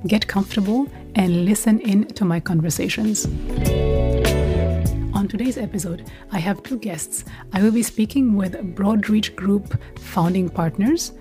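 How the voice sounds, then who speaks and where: A woman's voice: mid-range voice, measured pace, warm tone. Female podcast host, mid-range voice, scripted presenting style, light music underneath. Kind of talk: scripted podcast introduction and interview questions.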